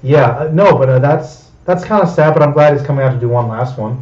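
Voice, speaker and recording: Young male podcast host, mid pitch, halting casual delivery, reverberant room. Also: a little mellow. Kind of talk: casual chat. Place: echoey room. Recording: roomy, slightly dull sound.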